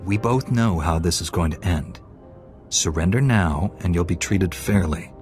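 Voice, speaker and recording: An actor playing a righteous Jedi knight in a video game, firm, earnest and controlled. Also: male voice, low voice, measured pace, music in the background. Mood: defiant, firm. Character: resolute, righteous Jedi knight, male hero. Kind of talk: dramatic video game hero acting.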